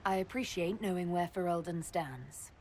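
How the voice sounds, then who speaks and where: A woman playing a composed, diplomatic leader, polite and measured, in a video game cutscene. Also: on the low side, darkish tone, slight background noise, lightly acted. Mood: measured, probing.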